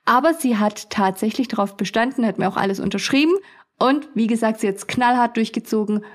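A woman's voice: on the high side, brisk. A young adult woman telling funny stories as a podcast host, chatty and often laughing. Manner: chatty, laughs often, acts out customers' voices. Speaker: podcast host, beauty studio owner. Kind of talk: casual storytelling podcast.

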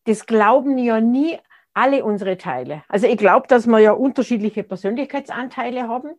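A woman's voice: on the high side, animated, moderate pace, darkish tone. Thoughtful woman in her sixties. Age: 60s. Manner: thoughtful, searching for words with frequent restarts. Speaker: interview guest. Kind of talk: reflective conversational interview.

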